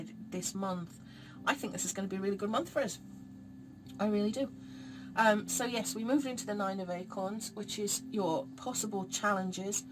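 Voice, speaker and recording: A woman, a bit throaty and croaky, giving a chatty, encouraging monthly tarot reading straight to her viewers. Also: medium pitch, poor audio. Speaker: tarot reader.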